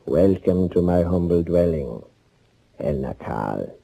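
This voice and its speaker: An actor playing a smug, sadistic Nazi fugitive who can turn to pleading, in theatrical 1940s radio thriller style with mockingly courteous menace. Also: male voice, sits low, lively intonation, slow, vocal fry, mellow, full character voice. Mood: gloating, menacing. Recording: muffled 1940s radio recording.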